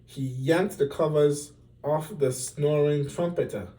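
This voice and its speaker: Slow-reading man, slightly distant mic. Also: fairly high pitch, dark tone. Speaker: storybook reader. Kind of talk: expressive children's story reading.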